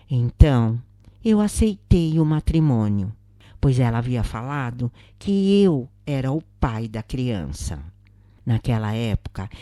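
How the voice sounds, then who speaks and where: A woman's voice: extremely low pitch, mellow, no echo, studio quality. A woman with a Brazilian accent reading a scripted first-person spiritual account as a narrator.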